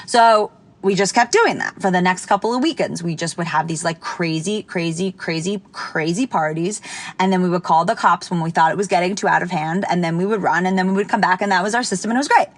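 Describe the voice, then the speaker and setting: A young woman casually telling a personal anecdote, animated and chatty with lots of fillers. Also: a little fast, dry recording. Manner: animated, jumps high when quoting people. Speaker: storyteller.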